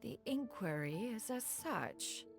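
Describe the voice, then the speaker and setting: An aloof, ageless immortal lady from a fantasy game, speaking slowly and formally with a gravelly creak. Her archaic phrasing is stately, over clear background music. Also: medium pitch, sing-song, heavily acted. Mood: dignified, reserved. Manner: formal, stately, archaic, refers to self as one. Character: aloof, dignified ancient adeptus woman in human form. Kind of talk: video game character dialogue.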